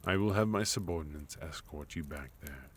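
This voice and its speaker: A man playing a sophisticated, courteous villain leader in a soft-spoken, gently teasing ASMR roleplay. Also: very deep voice, moderate pace, husky. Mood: gentle, caring. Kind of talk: ASMR roleplay, intimate scripted monologue to listener.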